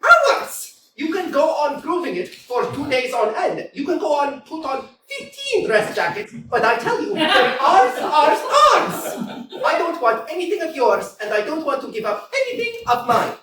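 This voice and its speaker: A woman's voice: high-pitched, sing-song, moderate pace, a crowd in the background. A woman playing a stubborn young landowner lady, echoey. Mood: furious. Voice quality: emphatic, argumentative, sing-song outbursts. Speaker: community theater actor. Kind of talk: staged reading of a stage comedy. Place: small community theater. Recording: echoey room, from far off, faint noise.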